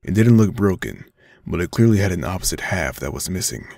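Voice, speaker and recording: Very deep, gravelly male narrator. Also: animated, fairly quick, mellow, dry recording, clean studio sound. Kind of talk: scripted story narration. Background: music.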